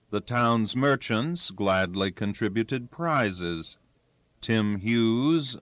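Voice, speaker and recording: Slow, low-voiced man reading a story. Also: fairly bright tone, no echo. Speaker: audiobook reader. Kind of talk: scripted story narration. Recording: dull, lo-fi, dry recording.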